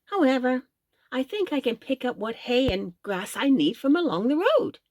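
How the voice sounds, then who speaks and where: A woman voicing a big, playful elephant who talks in a high, excitable, whiny way in a storybook reading. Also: moderate pace, dry recording. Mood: excited, pleading.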